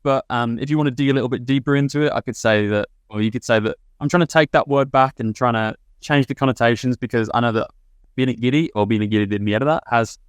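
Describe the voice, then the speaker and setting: A man's voice: medium pitch, smooth, no echo. A young man from Birmingham, England, a guest on a podcast, chatting casually with frequent restarts. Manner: quick, many restarts and fillers. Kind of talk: casual chat.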